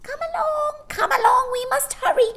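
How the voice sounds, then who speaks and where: A woman's voice: very high voice, moderate pace, noisy background, pitched above the performer's own voice. A woman voicing a small, spry old woman in a storybook, urgent and commanding as she hurries others along. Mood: urgent, bossy.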